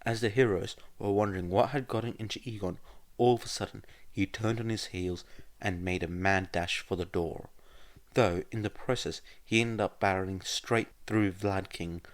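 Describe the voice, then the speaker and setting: A man's voice: on the low side, fairly even intonation, normal speed. A man reading scripted fan fiction as an audiobook-style narrator. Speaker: podfic narrator.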